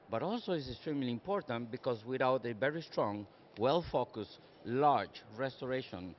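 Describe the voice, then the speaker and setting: A man's voice: medium pitch, muffled recording, a little hiss or hum. Earnest older Costa Rican man at a conference. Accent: Costa Rican Spanish. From Costa Rica. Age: 60s. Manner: earnest, measured, builds points in lists. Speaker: conference speaker. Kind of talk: conference panel remarks. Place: conference session room.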